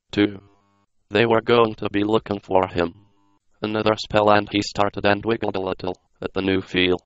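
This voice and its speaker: A synthetic male computer voice reading a story as narrator in a flat, mechanical, non-dramatic way. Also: on the low side, clear, resonant tone, highs cut off.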